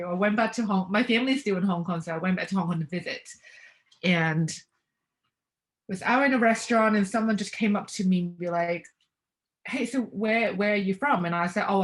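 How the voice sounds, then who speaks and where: A woman's voice: lowish voice, fairly quick. A young woman talking casually as an interview guest, reflective, with hesitant restarts and light laughs.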